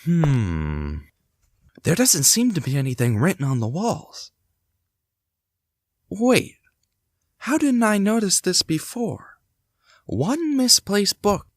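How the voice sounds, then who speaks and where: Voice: mid-range voice, takes it slowly, long pauses, dry recording, studio quality. An actor playing a curious amateur sleuth in a hobby audio drama, speaking expressively. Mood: curious, musing. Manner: expressive, swinging between musing and exclaiming. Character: curious amateur sleuth exploring an old house. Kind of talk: hobby audio drama acting, thinking aloud.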